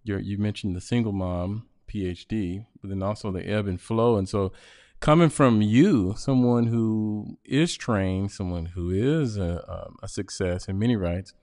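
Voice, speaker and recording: A man hosting a podcast interview, speaking haltingly with many restarts and "uh"s. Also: on the low side, darkish tone, no echo, clean studio sound. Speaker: podcast host. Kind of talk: interview conversation.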